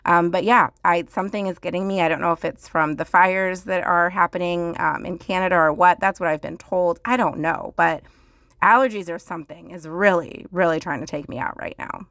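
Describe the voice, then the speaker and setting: Woman, hoarse and crackly, lower-pitched, casual rambling vlog narration, dry close sound. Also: a little fast, a bit bright, no echo. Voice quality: groggy, crackly. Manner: chatty, rambling with fillers. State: hoarse, losing her voice. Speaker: home vlogger. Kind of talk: casual voice-over chat.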